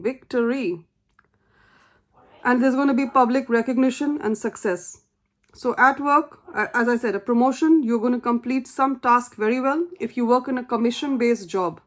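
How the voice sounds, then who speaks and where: Earnest higher-voiced tarot-reading woman. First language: not English. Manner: earnest, encouraging, repeats key phrases. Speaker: tarot reader.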